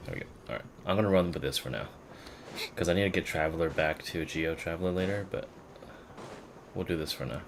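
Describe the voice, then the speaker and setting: A man's voice: deep voice, brisk, gravelly, pauses often, a little hiss or hum. A man doing casual gameplay commentary as a streamer, thinking aloud in an unfiltered way. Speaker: streamer playing a video game.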